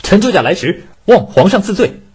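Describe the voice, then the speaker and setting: A man playing an animated, confident imperial commander. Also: high-pitched, brisk, slight background noise. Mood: formal, forceful. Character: loyal, confident court commander rescuing his emperor. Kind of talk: Chinese costume radio drama. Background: background music.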